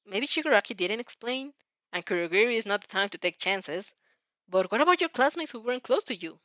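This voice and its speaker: A woman's voice: fairly high pitch, a bit bright, no room sound, lo-fi sound. A woman reading fan fiction aloud with drama, often stumbling over words and breaking into giggles. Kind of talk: animated dramatic reading of a story with giggly asides.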